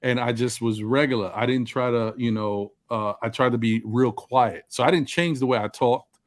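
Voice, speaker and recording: A man with a deep voice and precise, articulate enunciation, talking reflectively about himself in a group discussion. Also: normal speed, dry recording, clean studio sound. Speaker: panel participant.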